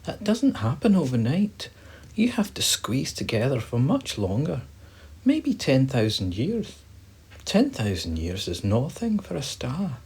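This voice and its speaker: A man's voice: medium pitch, huge pitch swings, measured pace, husky, pitched above the performer's own voice, full character voice. A man voicing a wise, kindly sun who patiently mentors a young star in a bedtime story. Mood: encouraging. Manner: reassuring, gentle.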